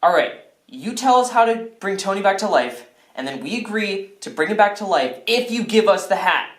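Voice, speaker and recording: A man's voice: high-pitched, lively intonation, moderate pace. A man acting in a comedy skit as a self-centred, whiny, melodramatic character, exasperated and prone to outbursts, in a roomy space. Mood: indignant. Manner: exasperated, mock-earnest, bursts into shouting. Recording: roomy, echoing indoor sound.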